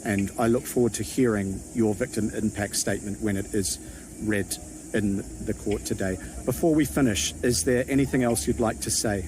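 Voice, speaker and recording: A man's voice: on the low side, normal speed. A man interviewing an older woman outdoors, polite and formal. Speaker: reporter. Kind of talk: street interview. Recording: outdoor street noise.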